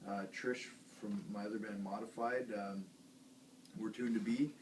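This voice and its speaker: A man's voice: lowish voice, steady tone, moderate pace, a little mellow. A man who plays guitar in a band, chatting casually in a rambling, hesitant way. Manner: rambling, hesitant, lots of fillers and restarts. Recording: echoey room, distant mic, faint hiss.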